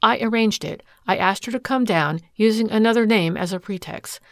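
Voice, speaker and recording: A woman voicing a composed, sharp female investigator who explains her plans briskly. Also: medium pitch, no room sound, voice pushed up, close to an everyday voice. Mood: explaining.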